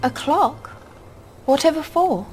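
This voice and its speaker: A woman's voice: high voice, expressive, slow, darkish tone, soft background music. A woman playing an inquisitive, insistent young girl adventurer in a video game, proper and questioning in manner. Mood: puzzled. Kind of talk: video game cutscene acting.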